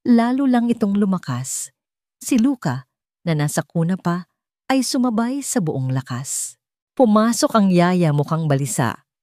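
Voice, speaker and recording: A woman's voice: fairly low pitch, very animated, measured pace, long pauses, mellow, no room sound, studio quality. A woman with a Filipino accent reading a dramatic scripted story as its narrator.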